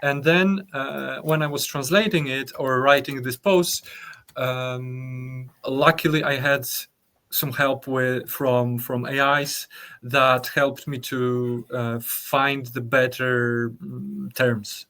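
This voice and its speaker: A young man with a Polish accent talks through his ideas in an interview. He speaks carefully, pausing often and restarting as he looks for the right English word. His delivery is reflective and unhurried. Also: medium pitch, fairly even intonation, pushed and bright. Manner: thoughtful, hesitant, many fillers and restarts. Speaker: guest artist. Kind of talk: conversational interview.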